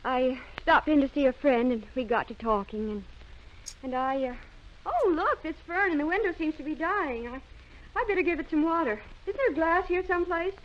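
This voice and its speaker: A woman's voice: sits high, expressive, normal speed. An actress playing a guarded, nervous young female office assistant in an old radio drama, with an evasive, faltering manner. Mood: flustered, evasive. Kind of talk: 1930s-40s radio drama acting. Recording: dull lo-fi old radio recording.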